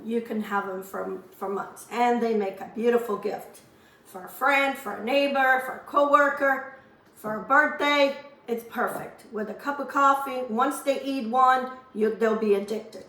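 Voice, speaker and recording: Hoarse woman, slow and roomy. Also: on the high side, fairly even intonation, bright, forceful tone, far from the mic. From Azores. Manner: chatty, homely, rambling asides. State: hoarse from a lingering cough. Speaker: cooking channel host. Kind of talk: casual cooking demonstration. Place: home kitchen. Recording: roomy kitchen, voice off-mic.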